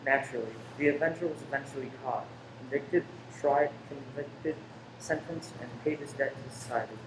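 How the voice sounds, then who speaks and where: A man's voice: mid-range voice, normal speed. A man delivering dramatic scripted narration for a radio play. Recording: distant mic, echoey room, clear noise.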